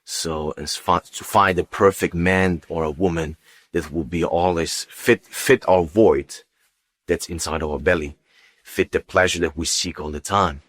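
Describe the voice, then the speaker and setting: Very deep-voiced man giving testimony. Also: fairly even intonation, a little slow, fairly bright tone. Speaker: guest giving a personal testimony. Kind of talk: unscripted personal testimony.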